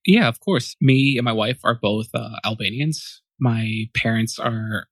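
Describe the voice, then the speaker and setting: A man's voice: mid-range voice, a little slow, a bit bright, dry recording, clean studio sound. A man in his twenties, a podcast guest, answering questions in a loose, rambling way, often correcting himself and thinking aloud. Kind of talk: casual interview answers.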